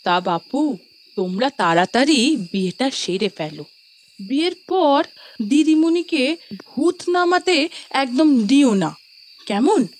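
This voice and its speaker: An actress playing an elderly, devoted maidservant who frets and grumbles in a folksy, superstitious way in an audio horror drama. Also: female voice, high voice, animated, slow, pauses often. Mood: grumbling, worried. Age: older.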